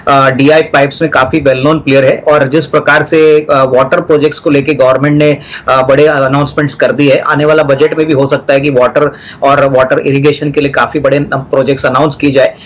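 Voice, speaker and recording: A middle-aged man, a market analyst on a business TV channel, giving steady stock advice and mixing in English terms. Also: mid-range voice, brisk, few pauses, rough recording. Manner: steady advisory tone, Hindi mixed with English terms.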